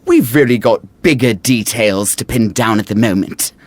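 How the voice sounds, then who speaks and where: A man's voice: mid-range voice, moderate pace, dry recording, lightly acted. A man playing a dutiful, unyielding royal advisor in a modern audio drama, formal and wry, deferential yet pointed. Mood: brisk, exasperated.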